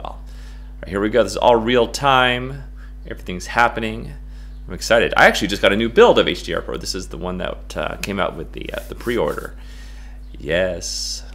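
A middle-aged American man giving a casual, playful software tutorial in a goofy, jokey way. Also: deep voice. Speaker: photo-editing tutorial presenter.

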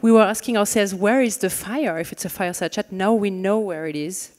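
A woman in her thirties with a French accent hosts a stage chat, speaking into a handheld microphone in a reverberant conference hall. She talks in a chatty, joking way, full of fillers and restarts, and her voice sits at a middling pitch. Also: a little fast, darkish tone. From France. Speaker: moderator. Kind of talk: stage fireside chat moderation.

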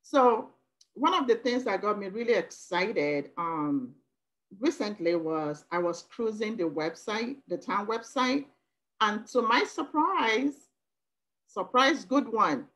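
A woman's voice: mid-range voice, animated, slow, a bit bright, rough recording. Woman from Nigeria, Igbo native speaker, effusive and emphatic, laptop video call, committee member. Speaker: working group member. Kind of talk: video call meeting comment.

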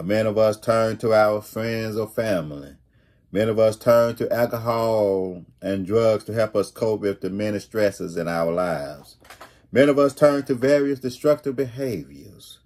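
A middle-aged man preaching a sermon as a pastor, deliberately repeating key phrases for emphasis. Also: lowish voice, darkish tone. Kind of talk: sermon, read from notes. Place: small home room, indoors.

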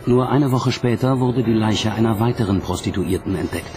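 A man's voice: fairly low pitch, moderate pace. A man reading scripted documentary narration as the narrator.

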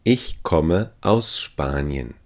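A man's voice: rumbling low, animated, extremely slow. A man teaching language phrases, saying each one three times carefully and evenly. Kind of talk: slow repeated phrase drill.

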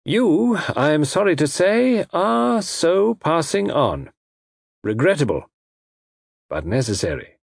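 Man acting a high-pitched, suavely menacing unseen villain. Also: sing-song, slow, gravelly, breathy edge, dry recording, clean studio sound, full character voice. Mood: suave menace. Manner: formal, mock-regretful, precise.

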